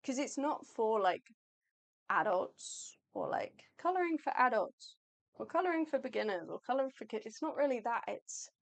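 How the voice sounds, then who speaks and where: A woman co-hosting an art livestream in a chatty, upbeat, rambling way, her voice going slightly hoarse. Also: a little high, expressive, moderate pace. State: losing her voice. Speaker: livestream co-host, artist. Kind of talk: casual live chat.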